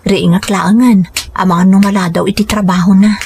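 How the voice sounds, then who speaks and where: A woman's voice: medium pitch, steady tone, moderate pace, darkish tone, a little hiss or hum, close to an everyday voice. A woman playing a caring, attentive younger in-law in an Ilocano radio drama, speaking in a coaxing, solicitous way. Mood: puzzled, concerned.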